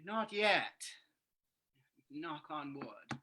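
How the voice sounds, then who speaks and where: A woman's voice: low-pitched, expressive, very slow, heavy background noise, heavily acted. A woman playing a nervous, eager townsman in a radio drama, polite and stammering, repeating words. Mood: anxious. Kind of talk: old-time radio drama acting.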